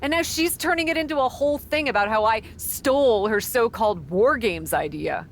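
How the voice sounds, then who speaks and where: A woman voicing a cocky young female wrestler in a game, breezy, flippant and quick to defend herself. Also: fairly high pitch, expressive, a little hiss or hum. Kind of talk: game cutscene dialogue.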